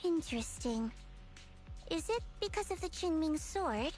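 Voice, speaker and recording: An actress playing a calm, curious and observant female comrade in a video game. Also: very high voice, unhurried, soft background music.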